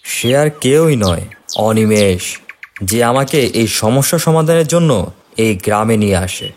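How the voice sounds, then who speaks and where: A man's voice: lowish voice, animated, measured pace, slight background noise. A man voicing a shrewd, resolute investigator in a horror cartoon, explaining things earnestly. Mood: revealing, serious. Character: shrewd, resolute male investigator exposing a culprit.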